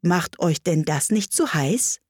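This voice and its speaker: A woman's voice: on the low side, expressive, takes it slowly, darkish tone, husky, no room sound, clean studio sound, exaggerated voice. A woman voicing a cheeky young boy in a sing-song storybook verse recitation.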